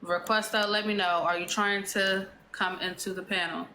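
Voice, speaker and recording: A woman moderates a live-streamed panel. Her voice is bright and forward, and she calls speakers up one at a time in a steady, organizing way. A faint hiss of noise sits under the audio. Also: medium pitch, moderate pace.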